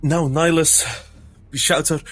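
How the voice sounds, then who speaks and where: A man's voice: fairly high pitch, steady tone, a little slow, a bit bright. A man playing a tabletop role-playing game, describing his character's actions in the third person. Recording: background music under the voice.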